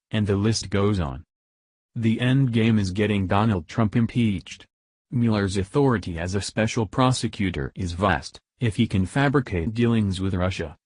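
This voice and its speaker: Low-voiced man narrating political news. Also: darkish tone, no room sound.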